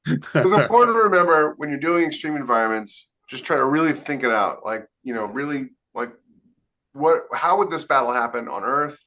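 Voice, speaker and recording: A man with a bright, forceful voice at middle pitch speaks as a podcast co-host. He gives casual, instructive advice with clear emphasis. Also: expressive, normal speed.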